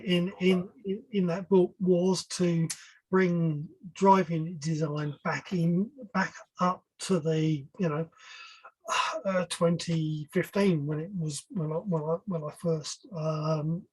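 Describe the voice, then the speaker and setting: Husky older man, informal speaker. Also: a little high, darkish tone, poor audio. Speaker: guest speaker, loudspeaker engineer. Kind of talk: informal webinar talk. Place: online video call.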